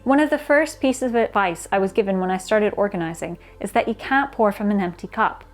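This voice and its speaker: A woman reading scripted explanatory narration in a measured, formal and earnest way. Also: mid-range voice, lively intonation, faint music behind. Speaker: guest contributor on activism.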